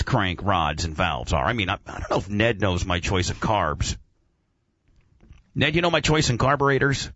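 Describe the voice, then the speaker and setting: A man's voice: fairly low pitch, a bit bright, dry recording. Slangy man hosting radio, close dry sound. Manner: slangy, informal, opinionated. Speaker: radio show host. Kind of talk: casual radio banter about auto racing.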